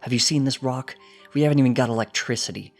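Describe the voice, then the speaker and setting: A man's voice: medium pitch, fast, creaky, soft background music, pitched above the performer's own voice, close to an everyday voice. A man voicing a blunt, dismissive village father, a fantasy creature, in a story reading. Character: gruff, blunt village husband, goat or minotaur father.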